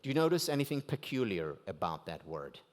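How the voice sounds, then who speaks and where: Israeli-accented male keynote speaker, native Hebrew, very expressive intonation, rhetorical questions, lecture cadence. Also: lowish voice, a little fast. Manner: rhetorical questions with strong rises and drops for emphasis. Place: banquet hall at a gala dinner.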